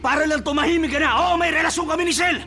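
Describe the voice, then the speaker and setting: An actor playing a cheating, short-tempered young boyfriend in a Filipino radio drama, with a Filipino accent and a defensive, exasperated manner. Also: male voice, very high-pitched, fast, sharp tone, soft background music, heavily acted.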